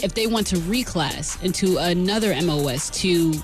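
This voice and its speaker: A man's voice: high-pitched, expressive, normal speed. An American man hosting a morning radio show in a casual, chatty style. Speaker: radio DJ and host. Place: radio studio. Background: music.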